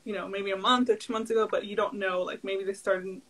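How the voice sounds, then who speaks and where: A young woman whose first language is Spanish talks as a guest in a relaxed interview, her voice at a middling pitch. She rambles with frequent fillers and laughs easily, heard over a video call from home. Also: fairly quick. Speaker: guest entrepreneur. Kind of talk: casual interview chat.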